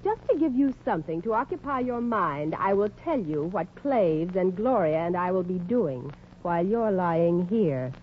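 A woman's voice: fairly low pitch, big pitch swings, normal speed. An actress playing a menacing, scheming female villain in a 1940s radio comedy, threatening and sneering. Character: menacing, gun-toting scheming woman villain. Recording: muffled, noisy 1940s radio recording.

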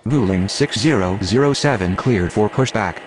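An actor playing a businesslike air traffic radio speaker in a game, formal and procedural, in clipped aviation phraseology. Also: male voice, lowish voice, animated, moderate pace, natural voice. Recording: faint vehicle and ambient noise.

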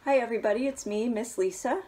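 A woman in her thirties, a children's librarian, reading a picture book aloud at home in a small living room, gently and with soft hushed asides. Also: a little high, fast, over loud music.

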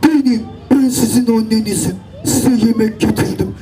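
A woman voicing a deceitful, gruff storybook wolf who coaxes while posing as a mother goat. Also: on the high side, steady tone, normal speed, dark tone, voice pushed down, lightly acted. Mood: sly coaxing. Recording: distant outdoor voice, music and crowd behind.

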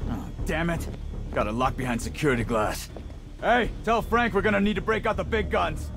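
Game goon voiced by a man: rough, panicky henchman, high and animated, music underneath. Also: moderate pace, lightly acted. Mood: impatient. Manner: agitated street-thug talk. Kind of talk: video game cutscene acting.

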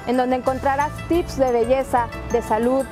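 A woman's voice: sits high, steady tone, moderate pace. A woman in her thirties reading an upbeat scripted show promo. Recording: music under the voice.

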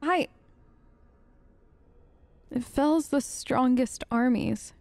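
A woman with a high voice chats casually as she plays a game. She takes her time, talks playfully to the characters, and is recorded close and dry. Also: extremely slow, darkish tone, no echo. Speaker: let's play streamer. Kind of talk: casual gameplay commentary, chatting to characters. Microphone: close, dry mic.

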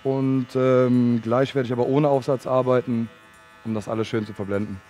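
A male barber in his thirties explains his craft in casual talk at a medium pitch. There is music behind his voice and the hum of electric clippers. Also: level tone, moderate pace, mellow. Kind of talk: casual explanation while working. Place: large indoor sports hall. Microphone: headset.

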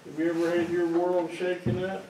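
A man's voice: sits high, monotone, normal speed, very noisy. An older man, a pastor giving a folksy, teasing children's sermon while seated among the kids in a small church. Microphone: far camera. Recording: roomy church sound from far off.

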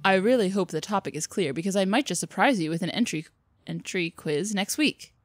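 A woman's voice: medium pitch, expressive, a little fast. A woman doing casual, teasing gameplay banter and playfully reading character dialogue aloud. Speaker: let's play co-host. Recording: prominent music under the voice. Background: game music.

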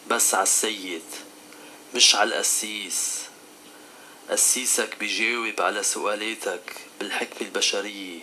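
A man's voice: fairly low pitch, bright, forceful tone, a little hiss or hum, voice pushed down, rough recording. A man with a Levantine Arabic accent playing a stern, fatherly divine counsellor in a devotional skit, speaking solemnly and admonishingly.

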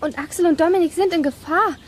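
A woman's voice: very high-pitched, fast talker, a little hiss or hum. An actress playing a young, eager and anxious girl detective in a children's radio play. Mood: alarmed.

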